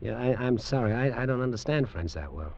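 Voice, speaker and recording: An actor playing a bitter, nervous hard drinker in an old-time radio drama, with a hesitant, halting delivery. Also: male voice, mid-range voice, animated, darkish tone, no echo. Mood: awkward, hesitant. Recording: muffled old radio recording, faint music.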